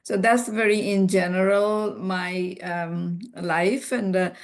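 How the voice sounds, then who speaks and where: Gravelly-voiced Bangladeshi woman talking casually. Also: on the low side, steady tone, slow pace. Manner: warm, rambling, with frequent "you know" and restarts. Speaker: physician and guest speaker. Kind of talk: conversational talk on a video call. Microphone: video call.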